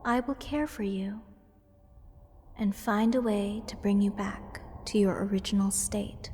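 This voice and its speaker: Woman playing eccentric doting mage, fantasy ASMR roleplay, rambling and whimsical, slow delivery, faint background noise. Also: mid-range voice, fairly even intonation, dark tone, close to an everyday voice. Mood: soft, soothing. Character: gushing, eccentric female mage besotted with cursed creatures. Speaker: voice actor.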